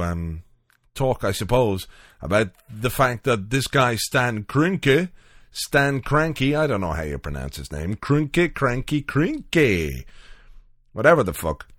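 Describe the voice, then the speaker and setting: A man's voice: sits low, fairly bright tone, no room sound, clean studio sound. A man hosting a podcast from home late at night, chatting loosely and rambling with lots of fillers and asides. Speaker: podcast host.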